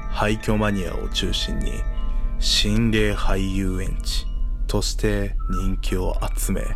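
Low, slow-paced man narrating horror. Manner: formal, polite, measured delivery. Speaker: narrator and guide of a horror radio show. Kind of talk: scripted horror guide narration. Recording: music behind the voice.

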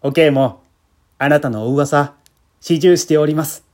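A man's voice: a little high, measured pace, a little mellow, lightly acted. A man voicing a cheerful, polite policeman, a proud family man talking in a chatty, deferential, folksy way in a story reading. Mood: polite, grateful. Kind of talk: literary reading with acted dialogue. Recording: music bed behind the voice.

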